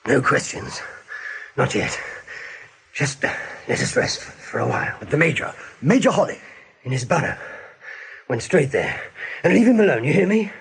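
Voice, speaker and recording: An actor playing a worn-out, gruff male rabbit returning to his warren, curt and halting in a radio play. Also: a little high, big pitch swings, a bit bright, exaggerated voice. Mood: exhausted, grim. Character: battle-weary, gruff male rabbit scout.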